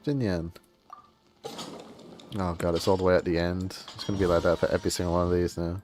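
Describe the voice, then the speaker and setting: A man's voice: low-pitched, little pitch movement, fairly quick, darkish tone, faint music behind. A man streaming a game and chatting casually, rambling through his opinions in an informal, grumbling way.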